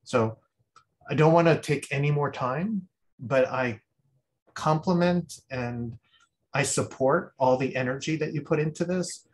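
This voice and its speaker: A man's voice: a little high, a little slow. A man giving rambling, thinking-aloud feedback as a guest critic at a design review over a video call. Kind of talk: spoken feedback on a student project.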